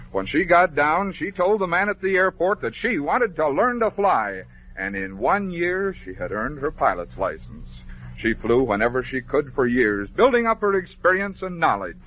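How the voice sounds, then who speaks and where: An American man narrating a scripted story for children as a 1950s radio host, phrasing it clearly and dramatically. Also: a little high. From American Midwest. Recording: dull, noisy vintage 1950s radio recording.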